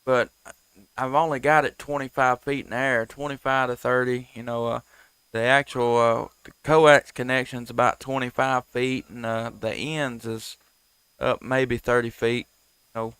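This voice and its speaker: A man chatting casually as a ham radio operator, plain-spoken with hesitant pauses. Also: mid-range voice, flat delivery, a bit bright, clear, resonant tone, no room sound. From Tennessee. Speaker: ham radio operator, live stream host.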